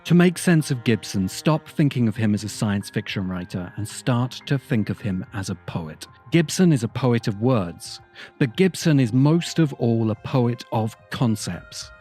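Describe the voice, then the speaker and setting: A man reading a scripted video essay in an earnest, measured, literary manner. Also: medium pitch, a little mellow. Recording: music bed under the voice. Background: background music.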